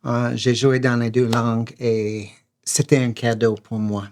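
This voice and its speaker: A man from the United States whose first language is English, an actor speaking in a casual conversation, earnest and a little halting. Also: mid-range voice, little pitch movement, darkish tone, slight background noise.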